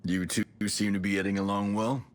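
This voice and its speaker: A man's voice: lowish voice, flat delivery, brisk, lightly acted. A man playing a seasoned special-ops soldier in a video game, terse, dry and matter-of-fact. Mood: calm, resolute. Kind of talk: video game cutscene dialogue.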